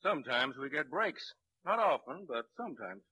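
An actor playing a gruff, hard-nosed police sergeant who plays the heavy in a crime drama. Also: male voice, mid-range voice, sing-song, moderate pace, pushed and bright, exaggerated voice, rough recording. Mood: matter-of-fact. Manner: gruff, barking, contemptuous. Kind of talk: dramatic film-noir crime acting.

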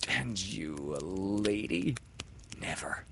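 A man voicing a wounded boy hero in a storybook reading, speaking softly and wearily. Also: fairly low pitch, sing-song, measured pace, vocal fry, slight background noise, voice pushed up, exaggerated voice. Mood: urgent. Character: wounded boy hero, weak but gallant.

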